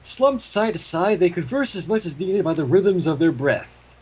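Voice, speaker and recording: Husky, animated man reading a story. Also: sits high, normal speed. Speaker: story narrator. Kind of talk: expressive fan fiction reading.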